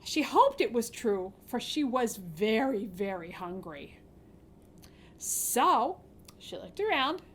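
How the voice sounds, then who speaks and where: Animated high-voiced woman storyteller. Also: slow, slight background noise. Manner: expressive, dramatic gasps and informal asides. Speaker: children's storyteller at a library storytime. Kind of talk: animated fairy tale storytelling for children.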